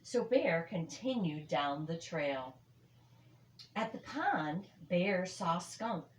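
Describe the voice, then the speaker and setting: An older woman reads a children's story aloud with expressive, emphatic phrasing in an echoey room. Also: lowish voice, takes it slowly, a little hiss or hum. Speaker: storybook reader.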